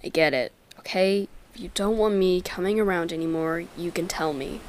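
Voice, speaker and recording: A woman voicing a guarded, sardonic merperson from the deep sea, dry and teasing, in a dramatic comic dub. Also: moderate pace, a little mellow, a little hiss or hum, natural voice. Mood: hurt, guarded.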